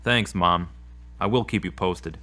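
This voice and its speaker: Low-voiced remorseful man, played by an actor. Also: unhurried, bright, forceful tone. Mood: defensive, contrite. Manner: earnest, confessional. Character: remorseful, troubled man struggling with his anger. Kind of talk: audio drama acting.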